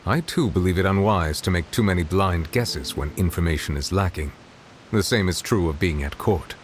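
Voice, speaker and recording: An actor playing a grave, reserved judge in a video game, speaking formally and with precise, measured diction. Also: male voice, sits low, slight background noise, close to an everyday voice. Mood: solemn. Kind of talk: video game character dialogue.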